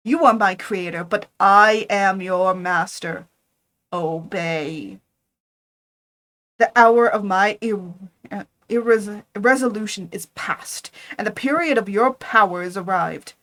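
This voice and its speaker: A woman's voice: mid-range voice, animated, moderate pace, lightly acted. Woman whispering as a vengeful male monster. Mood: menacing.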